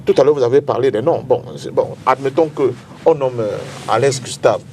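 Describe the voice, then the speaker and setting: A man's voice: mid-range voice, brisk, a little mellow, a little hiss or hum. A Cameroonian-accented man debating politics as a panel guest, speaking emphatically and repeating phrases for effect.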